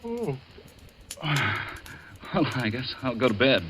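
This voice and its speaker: A man's voice: medium pitch, very animated, takes it slowly, fairly bright tone. An actor playing a polite, absent-minded but probing male doctor in courteous old radio drama style. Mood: mild, probing. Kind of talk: 1940s radio drama acting. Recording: old radio broadcast, faint noise.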